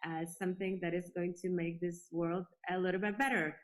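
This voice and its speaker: A woman's voice: fairly low pitch, steady tone, fairly quick. A woman from Poland giving earnest, reflective answers as a guest in a conversational interview. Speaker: interview guest, space industry executive.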